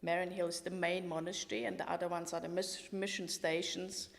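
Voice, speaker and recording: A woman's voice: fairly low pitch, level tone, brisk, vocal fry. A middle-aged woman from Switzerland with a Swiss accent, a walking tour guide talking in a podcast interview in an enthusiastic, matter-of-fact way. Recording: roomy hall-like reverb.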